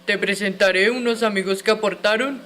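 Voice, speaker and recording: A man's voice: high-pitched, fairly even intonation, a little fast, bright, forceful tone, a little hiss or hum. A man playing a courteous inventor who gives polite, scripted explanations in an educational radio drama.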